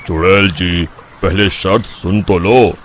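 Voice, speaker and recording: A man voicing a calm, pious village priest in a cartoon, with a measured, polite, solemn manner. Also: sits low, very noisy. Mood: polite, coaxing. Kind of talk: Hindi cartoon voice acting.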